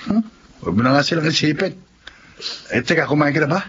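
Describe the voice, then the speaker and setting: On a dull radio drama recording with faint noise, a hardworking student son. His fairly high voice is earnest and gentle, a younger brother who consoles and confides. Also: expressive, fast talker. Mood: concerned, consoling. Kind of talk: Tagalog radio drama acting.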